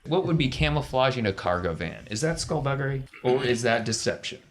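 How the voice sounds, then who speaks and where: A man's voice: medium pitch, lively intonation, fairly quick, slight background noise. A man acting as game master, narrating a tabletop role-playing game in an informal, conversational way. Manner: informal, conversational scene-setting.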